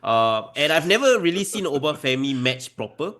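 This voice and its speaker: A man in his thirties co-hosting a podcast, chatting casually in an excited, emphatic way. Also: on the high side, normal speed. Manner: excited and emphatic, calls his co-host "bro". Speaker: podcast co-host. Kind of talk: casual chat.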